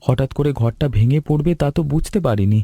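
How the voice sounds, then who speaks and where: A man's voice: medium pitch, brisk, warm tone, slight background noise, close to an everyday voice. Man acting a plaintive, humble cartoon weaver. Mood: despairing. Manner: earnest, plaintive, deferential. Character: humble, anxious male weaver, despairing husband. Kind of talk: Bengali animated story dialogue.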